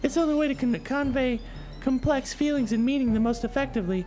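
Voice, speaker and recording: A man's voice: extremely high pitch, quick pace, dark tone, soft background music, pitched above the performer's own voice. A man voicing a shy, refined, bookish anime schoolgirl who speaks formally and hesitantly, in a visual novel read-aloud. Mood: earnest. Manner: hesitant, stammering, formal wording.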